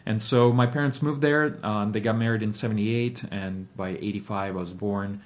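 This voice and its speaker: A man in his thirties, from Ukraine, telling an interviewer about his childhood in a steady, reflective way with frequent ums and uhs. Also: lowish voice, normal speed. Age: 30s. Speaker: guest. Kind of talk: interview storytelling.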